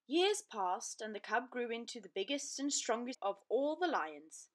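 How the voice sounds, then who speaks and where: Animated, high-voiced woman storyteller. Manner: dramatic, deliberate storytelling. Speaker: storybook narrator. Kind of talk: expressive children's read-aloud.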